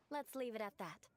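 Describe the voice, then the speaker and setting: A woman's voice: on the high side, no echo, full character voice. A woman voicing a young noblewoman in a video game, formal, composed and haughty, with a refined teasing edge. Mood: cool, wry. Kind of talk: video game character voice acting.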